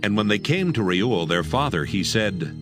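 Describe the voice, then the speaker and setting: A man's voice: fairly low pitch, sing-song, normal speed. A man narrating scripture as storyteller in a dramatized audio production. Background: music.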